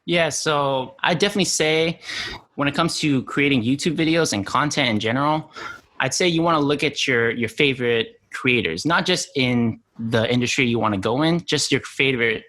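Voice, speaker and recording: A man's voice: medium pitch, moderate pace, sharp tone. A young man from New Mexico, a guest on a podcast interview, talking casually with lots of "you know" and easy laughs. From New Mexico, USA. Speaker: guest, content creator.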